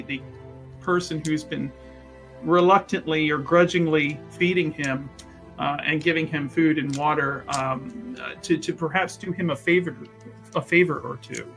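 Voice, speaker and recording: A man with a fairly high voice talks through his moves in a tabletop roleplaying game. He is wordy and casual, reworking his phrases as he thinks aloud. Soft music plays behind him. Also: low-quality recording.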